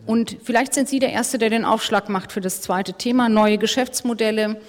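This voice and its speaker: A woman moderating a live panel discussion on a conference stage, brisk and wry, hurrying speakers along. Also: a little high. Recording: reverberant hall.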